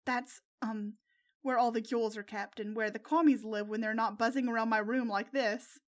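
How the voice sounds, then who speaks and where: Lady doing high soft teen girl, anxious and sweet-hearted, emotional audio story character. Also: fairly even intonation, normal speed, lightly acted. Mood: sad. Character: anxious, tender-hearted teenage girl hiding a superhero secret.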